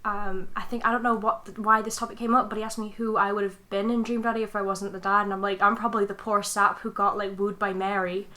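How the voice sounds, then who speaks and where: A woman co-hosting a podcast, telling things in relaxed, casual conversation among friends. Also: mid-range voice, quick pace, hardly any pauses. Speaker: podcast co-host. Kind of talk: casual chat.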